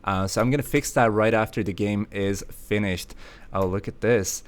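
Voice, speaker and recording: A man's voice: fairly low pitch, fairly even intonation, normal speed. A man from Sweden hosting a casual livestream, chatty and playful, often laughing as he talks to viewers. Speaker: livestream host.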